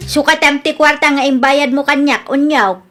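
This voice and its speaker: A woman's voice: sits high, steady tone, moderate pace, over music, close to an everyday voice. A woman playing a suspicious, insistent middle-aged village aunt in a comedy radio drama, with a nagging, scolding manner. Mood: confronting.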